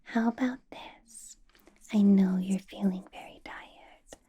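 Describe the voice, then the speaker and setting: A woman playing a doting, possessive female vampire boss in an intimate ASMR roleplay, coaxing and flirting in a breathy whisper. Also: medium pitch, steady tone, takes it slowly, warm tone. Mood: pleased, tender. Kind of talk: ASMR roleplay, personal attention.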